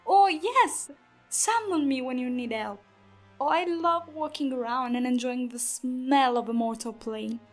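Female nature deity played by an actress, fantasy audio drama, kindly and unhurried, fairly high pitch, music underneath. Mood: delighted. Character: serene, kindly nature goddess, untroubled by mortals.

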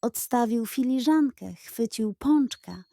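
A woman's voice: on the high side, animated, takes it slowly, gravelly, dark tone. A woman narrating a scripted children's bedtime story as a storyteller.

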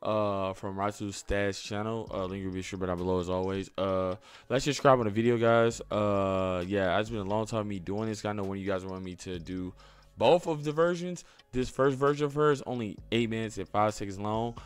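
A man's voice: fairly low pitch, normal speed, smooth. A young man hosting a reaction video, talking casually and offhand as he comments on what he is watching. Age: young adult.

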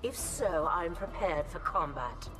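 Composed warrior woman, kinda low, formal and guarded, video game w loud music. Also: animated, measured pace, far from the mic, rough recording. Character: composed, proud female warrior and royal advisor. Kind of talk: video game cutscene dialogue.

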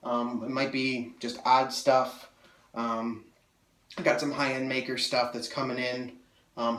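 A man's voice: mid-range voice, fairly bright tone, distant mic. A young man talks at the camera in a small room, his voice a little nasal as he gets over a cold. He rambles eagerly with plenty of fillers and restarts. The mic sits a few feet away and catches a roomy echo off the walls. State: slightly nasal, coming off a cold. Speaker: sword collector reviewing blades. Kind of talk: casual video review. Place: small room full of swords, indoors. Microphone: camera mic from several feet away.

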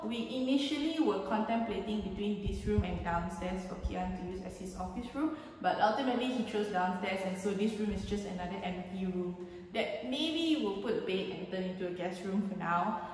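A woman's voice: mid-range voice, expressive, moderate pace, darkish tone, distant mic, a little hiss or hum. Woman touring echoey empty rooms. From Malaysia. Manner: chatty and explanatory, with frequent "um" and gesturing. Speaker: home renovation vlogger. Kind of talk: casual house tour walkthrough. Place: empty house with bare rooms and stairwell, indoors. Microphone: handheld camera at a few feet.

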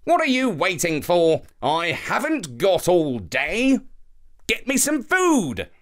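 Storybook trickster, acted by a male narrator: arrogant, scornful, suspicious, raised pitch, slow stretched words. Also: huge pitch swings, fairly bright tone, heavily acted. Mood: impatient, demanding. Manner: brash, scornful, drawn-out.

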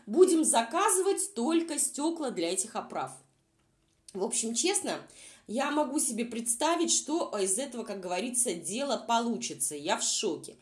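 Sarcastic woman commentator with swooping intonation. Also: on the high side. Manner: sarcastic, exasperated. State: unwell, says voice is nearly gone. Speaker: vlog reviewer and commentator. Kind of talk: informal mocking commentary over video.

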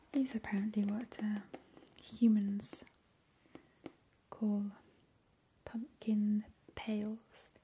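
A woman playing a playfully creepy, doting vampire in a breathy, cooing ASMR whisper. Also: medium pitch, dark tone, a little hiss or hum, close to an everyday voice. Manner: slow, lingering, cooing. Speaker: ASMR performer. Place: small indoor kitchen.

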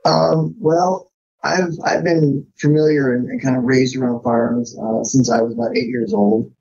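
A man gives a casual, self-deprecating interview in a relaxed way. His voice is mellow and husky with plenty of fry. The recording is a little dull and lo-fi. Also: mid-range voice, moderate pace. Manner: laid-back, self-deprecating. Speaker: podcast guest, firearms author.

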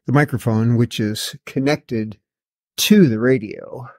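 Man, mid-pitched voice, middle-aged or older, matter-of-fact, slightly wry, casual hands-on product walkthrough. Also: slow pace, darkish tone. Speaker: video host. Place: indoor garage workshop.

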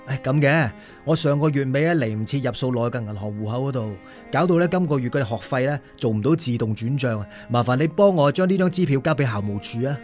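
A man's voice: medium pitch, animated, fast, hardly any pauses, darkish tone, faint music behind, natural voice. A man acting as a hardworking, devoted father in a radio drama, apologetic and hesitant, with a Hong Kong Cantonese accent. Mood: apologetic, hurried.

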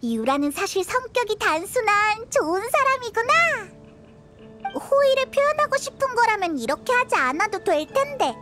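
Squeaky, childlike little game fairy. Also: female voice, sing-song, normal speed, a bit bright, faint music behind, full character voice. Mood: curious. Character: small childlike fairy companion, chirpy, bluntly curious. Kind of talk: video game voice acting.

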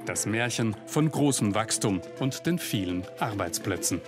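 A man's voice: on the low side, lively intonation, normal speed. A man reading a scripted investigative news report as a voice-over, with pointed, ironic emphasis. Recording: narration over loud music bed.

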